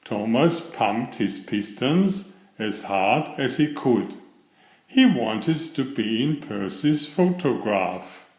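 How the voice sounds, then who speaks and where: A man reading a children's storybook aloud, telling it in an expressive and deliberate way. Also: mid-range voice. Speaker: children's book reader. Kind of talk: storybook read-aloud narration. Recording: roomy, echoing voice recording.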